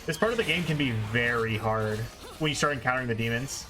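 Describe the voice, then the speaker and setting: A man's voice: mid-range voice, fast talker. A man giving casual, explanatory live commentary as he streams a video game. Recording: game music behind the voice. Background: video game music.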